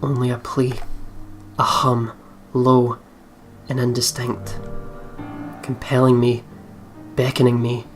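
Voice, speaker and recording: A man's voice: mid-range voice, completely flat delivery, slow pace, pauses often, faint music behind. A man reading a visual novel aloud as a let's player, with casual asides.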